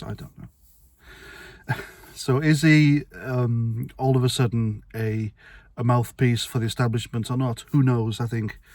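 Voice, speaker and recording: Middle-aged male vlogger, mid-pitched, dry sound, rambling and sweary. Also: a little mellow, dry recording. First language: Welsh. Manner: blunt, rambling, sweary, with restarts. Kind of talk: casual opinion monologue to camera.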